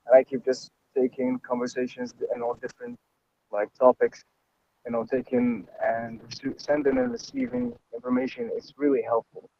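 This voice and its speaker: A man's voice: medium pitch, animated, moderate pace, creaky, mellow. A man from Algeria, an Arabic speaker, answering as a lecture participant over a video call, hesitant and full of fillers. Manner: hesitant, many "like" and "you know" fillers. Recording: dull, dry online call audio.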